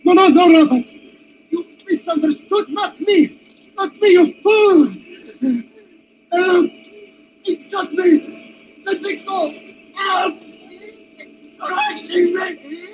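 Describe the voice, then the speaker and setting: Melodramatic radio villain, dull noisy recording. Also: male voice, very high-pitched, animated, extremely slow, long pauses, full character voice. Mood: terrified. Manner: menacing, melodramatic, shouting. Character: gloating male villain turning to panicked terror. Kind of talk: old-time radio drama acting.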